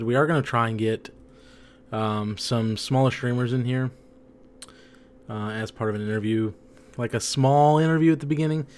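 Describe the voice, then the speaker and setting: A man's voice: mid-range voice, a little hiss or hum. Rambling young man, a bit tipsy. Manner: rambling, heavy on fillers. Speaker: podcast co-host. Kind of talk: casual chat.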